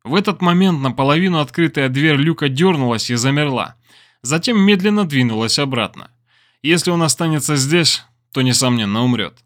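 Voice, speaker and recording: A man narrating an audiobook thriller in a deliberate, expressive storytelling manner. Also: on the high side. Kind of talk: dramatic scripted fiction narration.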